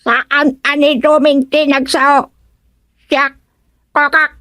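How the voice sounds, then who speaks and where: A woman playing a talking female frog with a creaky voice in a comedy radio drama. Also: high-pitched, little pitch movement, slow pace, sharp tone, no room sound, heavily acted. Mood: croaking, teasing.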